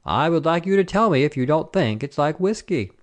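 Eager soldier, done by a male narrator, raised pitch, lively, coaxing, persistent, storybook figure. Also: brisk, natural voice. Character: eager, insistent blue-eyed young French soldier.

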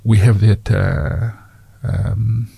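Man, Fijian accent, husky, dry close sound, radio host, hesitant with fillers. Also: on the low side, little pitch movement, mellow, dry recording. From Fiji. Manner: halting, many fillers, drops into Fijian phrases. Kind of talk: community radio chat.

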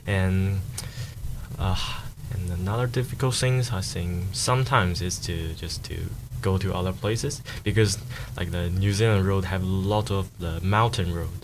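Deep-voiced Chinese man, halting speech. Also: steady tone. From China. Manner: halting, with many fillers. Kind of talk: casual interview answers. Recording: noisy recording.

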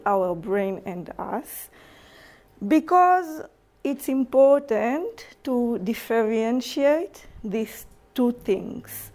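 Young israeli woman giving a talk, slow and careful, highish voice, stumbles and restarts words. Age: young adult. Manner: halting, self-correcting non-native English with restarts. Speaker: lecturer. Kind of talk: public lecture. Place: lecture room.

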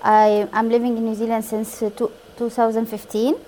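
A woman's voice: fairly high pitch, level tone, moderate pace, dark tone, a little hiss or hum. A woman from Egypt co-teaching a bread workshop, speaking hesitant, simple English with fillers. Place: community hall kitchen.

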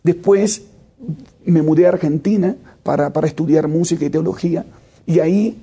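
Worship leader, thirties, male, higher-pitched but husky, reflective with hesitations. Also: moderate pace, warm tone. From Brazil. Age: 30s. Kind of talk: interview answers.

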